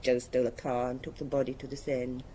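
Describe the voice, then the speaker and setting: Mellow-voiced, world-weary woman of forty. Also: a bass voice, flat delivery, fast, slight background noise. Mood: resigned, bitter. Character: weary, bitter wealthy murderess, hard-drinking, around forty. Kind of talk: radio drama acting.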